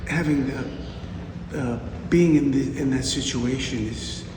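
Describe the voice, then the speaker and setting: A male physician slowly sharing reflections from a medical mission, pausing often between phrases. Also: medium pitch. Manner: slow, halting, with long pauses. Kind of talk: reflective group recap. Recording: echoey room from far off, loud room noise.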